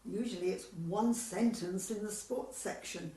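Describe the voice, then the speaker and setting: A woman's voice: medium pitch, measured pace, dark tone, close to an everyday voice. Woman acting a gossipy committee lady, echoey. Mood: amused gossip. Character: agreeable, gossipy, competitive tennis club committee woman. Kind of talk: amateur radio comedy acting. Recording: echoey room, far from mic.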